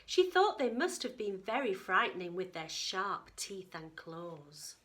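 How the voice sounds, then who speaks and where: A woman narrates a children's picture book with a lively, swooping delivery. Her voice is bright, and she breaks off to chat with and question her young listeners in a teacherly way. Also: mid-range voice, unhurried. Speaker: teacher reading story time.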